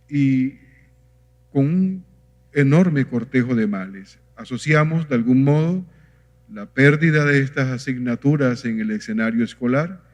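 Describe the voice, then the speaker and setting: A man's voice: medium pitch, darkish tone. Guy moderating a panel, formal, lots of ehs, echoey hall. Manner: formal, unhurried, with hesitant fillers. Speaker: forum moderator. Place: conference hall. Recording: reverberant hall.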